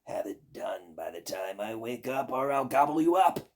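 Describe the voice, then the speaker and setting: A man voicing a bossy, threatening old witch from a folk tale, with a raspy, creaky voice and slow theatrical commands. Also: mid-range voice, sing-song. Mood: menacing.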